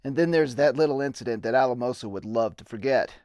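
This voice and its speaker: A middle-aged man giving a dramatic reading of his own memoir in deliberate, theatrical storytelling. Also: mid-range voice, darkish tone, no room sound, studio quality. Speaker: narrator and videographer. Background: music bed.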